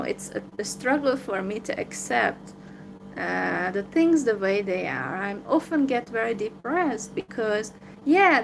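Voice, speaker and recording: A woman's voice: fairly high pitch, gravelly, background noise. A woman with a Hungarian accent, talking alone to her viewers in a downcast, hesitant way with many fillers. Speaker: vlogger. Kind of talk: solo livestream monologue.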